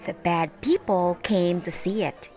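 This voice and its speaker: A woman reading a children's Bible story aloud in an expressive, deliberate way. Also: fairly low pitch, faint music behind. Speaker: storyteller, teacher.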